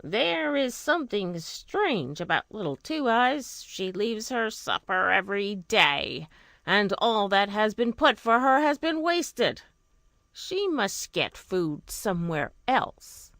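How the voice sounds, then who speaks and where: A woman's voice: mid-range voice, big pitch swings, takes it slowly, vocal fry, a bit bright, no echo, heavily acted. A woman voicing a scheming, nosy sister in a children's fairy tale, with a suspicious manner.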